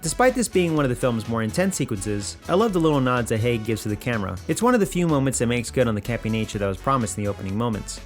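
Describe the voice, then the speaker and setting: Lively male video essayist over music. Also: mid-range voice, quick pace, few pauses. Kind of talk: scripted video essay narration, film criticism. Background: background music.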